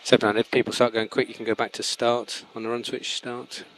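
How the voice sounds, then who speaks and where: Fast, calm race engineer man. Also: mid-range voice, poor audio. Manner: calm, procedural, repeats key words. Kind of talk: team radio instructions.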